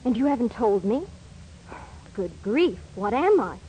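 A plaintive young woman in a 1940s radio commercial. Her voice is high and wounded. Faint noise marks the old recording. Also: sing-song, a little slow, mellow, lo-fi sound.

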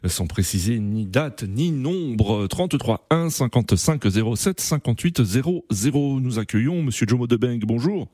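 A man hosting a radio call-in show, asking measured questions with pauses. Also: medium pitch, animated, dark tone, no room sound. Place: radio studio.

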